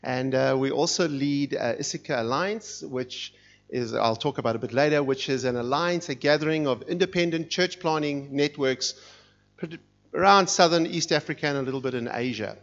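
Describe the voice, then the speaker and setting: Fired-up preacher man, muffled sound. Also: normal speed. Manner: energetic, fired up, reads scripture with rising intensity. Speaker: guest preacher. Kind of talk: sermon. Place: church sanctuary. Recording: dull, muffled low-fidelity sound.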